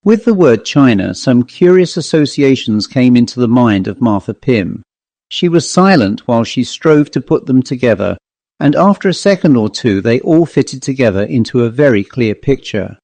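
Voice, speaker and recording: A man reading a classic ghost story as an audiobook narrator, in a measured, literary manner. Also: mid-range voice, expressive, darkish tone, no echo.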